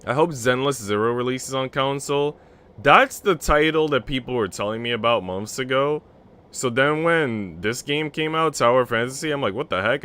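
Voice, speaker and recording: A man's voice: fairly low pitch, a bit bright, clear, resonant tone. A man livestreaming, mixing slangy, rambling casual chat and swearing with reading a visual novel aloud. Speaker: game streamer.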